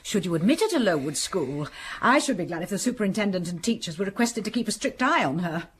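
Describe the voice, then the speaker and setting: An actress playing a cold, formal, disdainful middle-aged aunt in a radio drama. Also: female voice, medium pitch, big pitch swings, fast, slight background noise, lightly acted.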